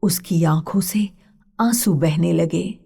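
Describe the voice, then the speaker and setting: A woman narrating a literary story in a measured, breathy way. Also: on the low side, mellow.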